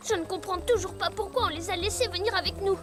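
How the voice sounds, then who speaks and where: A woman's voice: very high voice, quick pace, music in the background. A woman voicing a wary, skeptical schoolgirl pony in a cartoon dub, blunt and grumbling. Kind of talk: French cartoon dub acting.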